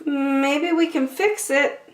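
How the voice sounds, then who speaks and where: Woman doing a high, sweet little girl mouse. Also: takes it slowly, reverberant, a little hiss or hum. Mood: hopeful. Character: friendly young girl mouse, playful and kind best friend. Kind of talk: children's storybook character voice.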